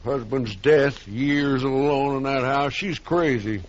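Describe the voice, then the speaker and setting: A man's voice: mid-range voice, slow, close to an everyday voice. A man playing a hard-nosed, impatient railroad man in a western radio drama, assertive and clipped. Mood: impatient, scornful. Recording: muffled old radio recording, faint hiss.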